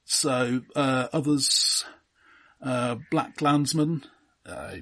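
A man with a slightly husky voice co-hosts a film discussion. He speaks in a measured, hesitant way, listing and weighing things with dry understatement. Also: mid-range voice, fairly even intonation, bright, forceful tone. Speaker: film podcast co-host.

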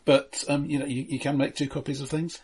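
A man's voice: on the high side, flat delivery, a little fast, darkish tone, breathy edge. A man co-hosting a casual film critique, making his points in a wry, sardonic, pointed way. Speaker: film podcast co-host.

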